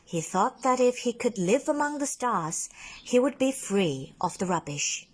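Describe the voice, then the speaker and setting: A woman narrating a children's bedtime story in a deliberate, dramatic manner, stretching words for emphasis. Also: fairly high pitch, sing-song. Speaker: speech and drama teacher reading a story.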